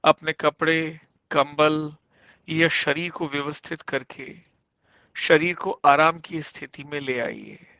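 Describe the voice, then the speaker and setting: A man guiding a meditation as a yoga instructor, giving measured instructions in short phrases with pauses between them. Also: fairly high pitch, sharp tone, no room sound. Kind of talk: guided yoga nidra meditation, slow spoken instructions.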